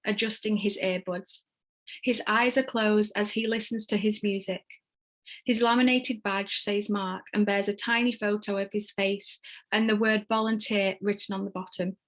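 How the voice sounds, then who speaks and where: Woman narrator, medium pitch, scripted stage directions, table read. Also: fairly even intonation, moderate pace.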